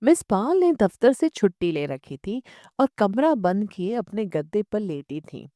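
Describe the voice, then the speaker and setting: A woman narrates a literary audiobook at a slow, measured pace. Her voice sits at an average pitch, and the recording is dry and close. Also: big pitch swings, mellow, dry recording. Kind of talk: scripted literary story narration.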